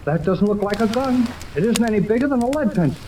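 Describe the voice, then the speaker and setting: An old, noisy radio serial recording features a brash young American hero. His voice is high and boyish, with slangy, stubborn delivery. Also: lively intonation, fast, warm tone, distant mic. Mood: skeptical. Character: plucky, defiant American boy radio operator. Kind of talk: 1930s radio serial acting.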